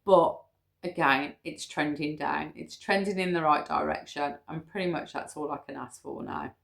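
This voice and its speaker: A woman's voice: low-pitched, normal speed, vocal fry. A British woman in her fifties talking casually to camera, explaining her figures step by step with frequent ums. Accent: British English. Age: 50s. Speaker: weight-loss vlogger.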